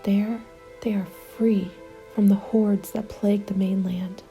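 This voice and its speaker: A woman narrating a scripted first-person ASMR story in a soft, measured, often whispery delivery. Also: mid-range voice, flat delivery. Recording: background music under the voice. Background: music.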